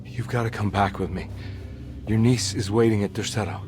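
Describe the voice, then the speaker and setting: An actor playing an earnest, insistent investigator in a game cutscene, pressing with urgent questions. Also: male voice, on the low side, fairly even intonation, normal speed, music in the background, lightly acted. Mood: concerned. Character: earnest, insistent male investigator seeking a missing man. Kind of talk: video game cutscene acting.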